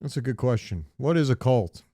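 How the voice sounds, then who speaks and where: A man's voice: mid-range voice, animated, a little fast, creaky, mellow, dry recording, clean studio sound. A man co-hosting a comedy podcast, chatting casually with some swearing and reading bits aloud in a joking way. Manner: profane, joking. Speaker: podcast co-host.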